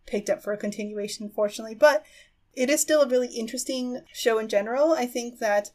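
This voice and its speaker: A woman's voice: fairly high pitch, fast talker. Thoughtful woman hosting a casual podcast. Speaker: podcast host. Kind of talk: casual chat about anime.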